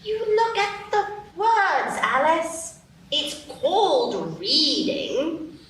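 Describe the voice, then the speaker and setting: A woman playing a patient but weary bookish older sister in a live radio play, measured and instructive, recorded in an echoey space from a distance. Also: very high-pitched, very animated, fairly bright tone, full character voice. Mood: patient, dismissive. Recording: very echoey, distant stage pickup, faint noise.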